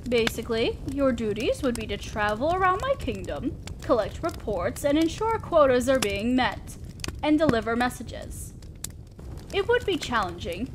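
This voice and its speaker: A woman playing a haughty, sadistic female demon lord in a scripted audio roleplay, with a theatrical, playful, mock-polite manner. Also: on the high side. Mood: persuasive. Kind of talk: scripted ASMR-style roleplay addressed to listener. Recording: crackling fire noise behind voice. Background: crackling fire.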